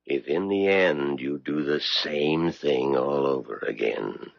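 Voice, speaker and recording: An actor playing a mysterious, all-knowing male stranger, gravelly and deliberate, probing insistently in a 1970s radio drama. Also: a bass voice, no room sound, exaggerated voice. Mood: stern. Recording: dull vintage radio broadcast recording.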